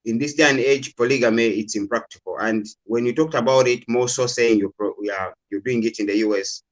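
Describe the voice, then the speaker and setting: A man's voice: medium pitch, poor audio. A man from Uganda calling in to a discussion, talking casually and hedging often with repeated fillers. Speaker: caller.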